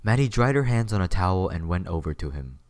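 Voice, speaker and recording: A man's voice: sits low, big pitch swings, quick pace, a little mellow, no room sound. A man reading scripted story narration as the narrator of an audio drama.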